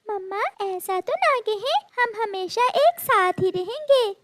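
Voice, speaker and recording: A woman's voice: very high voice, animated, takes it slowly, a bit bright, a little hiss or hum, pitched above the performer's own voice, full character voice. A woman voicing a young girl bird in a cartoon, childlike, curious and earnest. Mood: worried.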